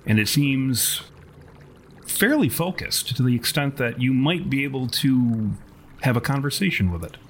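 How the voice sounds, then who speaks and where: A man runs a tabletop game, narrating scenes in elaborate, bookish language. His pitch is mid-range, and there is faint noise behind him. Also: lively intonation. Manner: wordy, erudite, deliberate phrasing. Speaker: game master.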